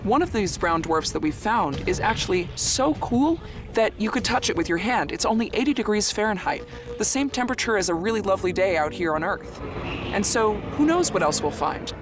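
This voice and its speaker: A man with a notably high voice explains science as an interviewed expert. His delivery is conversational and emphatic. Music is clearly audible behind him. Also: animated, a little fast. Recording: music laid under interview.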